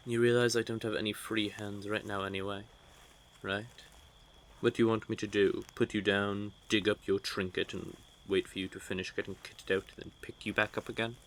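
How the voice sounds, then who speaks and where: Man playing a low-voiced, smug, flirtatious vampire. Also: little pitch movement, fairly quick, natural voice. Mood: exasperated. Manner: teasing, smug, drawling menace. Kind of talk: audio roleplay, speaking intimately to one listener. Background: outdoor night forest ambience effects.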